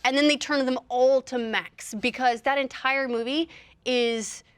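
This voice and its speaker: Higher-pitched woman in casual discussion. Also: steady tone, a little slow, clean tone. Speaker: panelist on a video game movie show.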